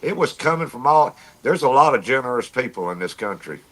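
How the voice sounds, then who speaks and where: A man's voice: mid-range voice, very animated, brisk, a bit bright. A plainspoken man with a folksy manner, telling stories casually in a livestream chat and repeating himself as he goes. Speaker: amateur radio operator, livestream guest.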